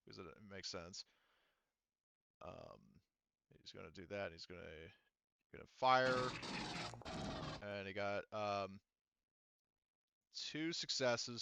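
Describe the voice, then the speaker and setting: Male game master, lowish bright voice, clean tone, halting rules explanations, slightly dull lo-fi audio. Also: clean tone, no echo. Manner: halting, thinking aloud while explaining rules. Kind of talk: running an online tabletop roleplaying game.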